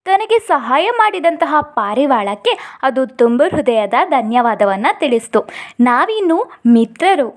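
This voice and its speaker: High-pitched, lively woman telling children's stories. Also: measured pace. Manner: addresses children directly, expressive storytelling. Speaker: storyteller and channel host. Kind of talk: children's moral story narration.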